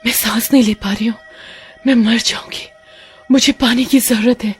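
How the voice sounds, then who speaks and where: A woman's voice: on the high side, fairly even intonation, normal speed, slightly rough, faint music behind, voice pushed up. A woman voicing a young mermaid in a cartoon, fierce and distressed, with emphatic, melodramatic delivery. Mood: desperate. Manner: dramatic, emphatic.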